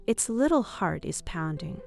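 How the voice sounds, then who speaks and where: A woman's voice: fairly low pitch, big pitch swings, a little slow, vocal fry, a little mellow. Woman narrating a children's story over music.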